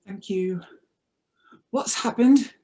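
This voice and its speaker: A woman's voice: mid-range voice, takes it slowly, dark tone, lightly acted. A woman at a table read playing a frightened young pregnant chef in her twenties. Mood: pleading.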